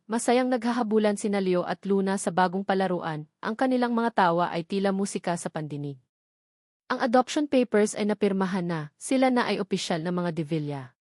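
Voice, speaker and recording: Storyteller, female, Filipino accent. Sentimental, earnest dramatic narration, mid-pitched voice, very dry recording. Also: darkish tone, no echo.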